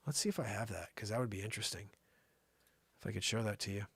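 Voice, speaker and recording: A man's voice: lowish voice, fast, vocal fry, fairly bright tone, breathy edge, no room sound. A man hosting a live show with casual, slangy, rambling comedic commentary, often thinking aloud. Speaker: live variety show host.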